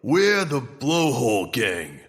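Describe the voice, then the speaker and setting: An actor playing a mocking, blustering comic gang thug in an audio drama. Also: male voice, medium pitch, huge pitch swings, slow pace, fairly bright tone, full character voice. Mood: mocking menace. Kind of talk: comedic audio drama acting. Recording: long hall reverb, somewhat distant.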